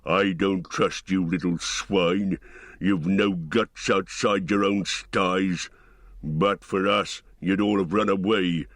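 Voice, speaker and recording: Guy voicing a big brutal orc boss, growly, real deep and slow, barks orders. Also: steady tone, a bit bright, no echo, clean studio sound. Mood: commanding. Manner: slow, blunt commands. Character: huge brutal orc captain, commanding and proud. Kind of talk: audiobook character voice.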